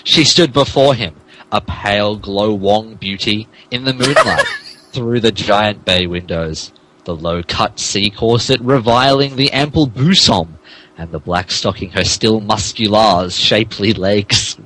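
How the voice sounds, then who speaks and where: A man's voice: fairly low pitch, slow pace, pushed and bright, low-quality recording. A man, a podcast host, narrating a comic dramatic reading of fan fiction theatrically and stumbling over the text.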